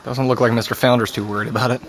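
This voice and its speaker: A man's voice: medium pitch, flat delivery, rapid-fire, natural voice. Man playing an understated, sardonic teenage boy. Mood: dry, embarrassed. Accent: American. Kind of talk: naturalistic audio drama dialogue.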